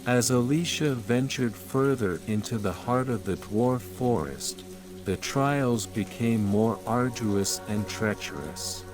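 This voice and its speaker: A man narrating a scripted bedtime fairytale in a measured, soothing manner. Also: medium pitch. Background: rain and calm music.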